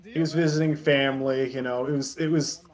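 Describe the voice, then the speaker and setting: A man with a middle-pitched voice chats as a guest on an online call. He tells stories casually, in stops and starts, circling back as he goes. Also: steady tone, brisk. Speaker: interview guest. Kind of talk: casual chat, telling anecdotes. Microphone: voice call on Discord.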